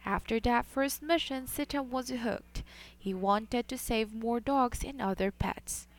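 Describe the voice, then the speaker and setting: A woman narrating a scripted news report in a measured, even delivery. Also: a little high.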